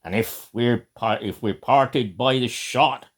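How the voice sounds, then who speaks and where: A man's voice: medium pitch, takes it slowly. An older man with an English accent voicing a rowdy storybook pirate, bawling out a seafaring song. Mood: rowdy, menacing. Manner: bawling, declamatory.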